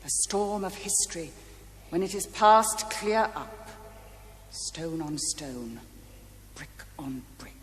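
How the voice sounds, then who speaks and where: A woman's voice: low voice, lively intonation, slow pace, long pauses. An actress playing a haughty, indignant British lady in a television play, declaiming imperiously about what she holds dear. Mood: imperious resolve. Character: haughty, indignant lady devoted to great art. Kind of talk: theatrical television play acting. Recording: echoey hall with faint ambient noise.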